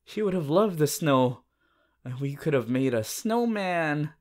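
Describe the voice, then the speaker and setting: A man playing a shy, awkward, lovestruck teenage anime boy hero in a halting, stammering romantic roleplay addressed to the listener. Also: fairly high pitch, lively intonation. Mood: tender, happy. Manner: halting, stammering, flustered, giggly.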